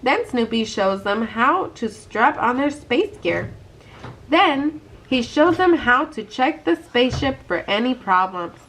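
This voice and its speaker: A woman narrates a children's book in a read-aloud style. Her voice sits a little on the high side as she takes the role of storybook reader. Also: very animated, unhurried, a bit bright, slight background noise, low-quality recording.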